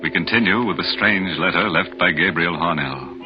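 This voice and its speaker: Very deep, macabre radio horror host. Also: male voice, normal speed. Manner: macabre, punning, theatrical. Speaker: show host and announcer. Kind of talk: 1940s radio horror hosting. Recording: dull 1940s radio, music behind.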